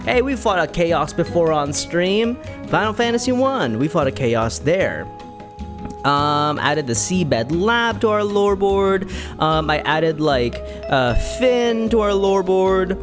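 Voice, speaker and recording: Playful game streamer, animated swooping pitch. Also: slow, over music. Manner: playful, theatrical, sing-song asides. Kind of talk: casual playthrough commentary.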